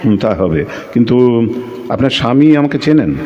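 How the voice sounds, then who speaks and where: An actor playing a solitary, reflective lighthouse keeper in an audio play, narrating in literary with a measured, expressive delivery. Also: male voice, mid-range voice, lo-fi sound, lightly acted. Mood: polite, hesitant. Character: solitary, reflective Bengali lighthouse keeper telling his story. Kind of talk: literary first-person dramatic narration.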